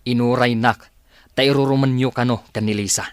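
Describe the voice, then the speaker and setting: A man's voice: mid-range voice, steady tone, fairly quick, dry recording, lightly acted. A man playing a concerned, protective father in a radio drama, questioning emphatically. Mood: incredulous, indignant.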